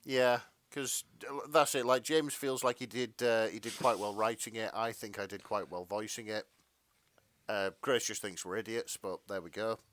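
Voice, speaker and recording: A man's voice: on the low side, steady tone, moderate pace, fairly bright tone, no room sound. A man co-hosting a podcast in casual banter, wry and wordy, with hesitations as he goes. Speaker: podcast co-host.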